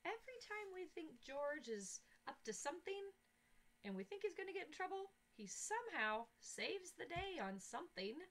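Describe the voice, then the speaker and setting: A woman in her thirties reads a picture book aloud to young children, with expressive, deliberate phrasing, on a headset mic. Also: very high voice. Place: small indoor classroom-style corner. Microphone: headset boom mic.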